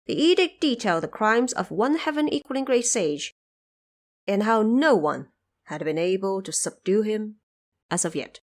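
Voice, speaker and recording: A woman's voice: mid-range voice, animated, moderate pace. Woman narrating an audio drama. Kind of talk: scripted audio drama storytelling.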